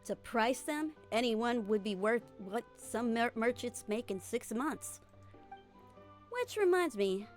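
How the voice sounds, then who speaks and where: A woman voicing a confident, easygoing young female mercenary in game dialogue, with breezy, informal persuasion. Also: a little high. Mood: casual, persuasive. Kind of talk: fan-dub game character dialogue. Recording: music behind the voice.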